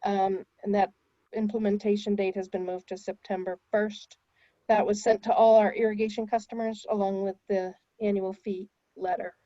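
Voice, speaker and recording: A woman on a video call gives announcements at a town commission meeting, pausing with fillers. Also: medium pitch, flat delivery, warm tone, no room sound. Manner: hesitant, filler-heavy. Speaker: commission member. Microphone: remote video call. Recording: dull dry call audio.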